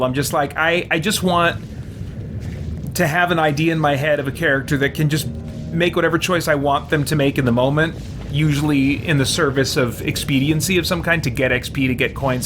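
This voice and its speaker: A man's voice: on the high side, noisy background. A man hosting a let's play, giving rambling, informal commentary over a video game. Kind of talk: casual commentary over gameplay. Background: game music.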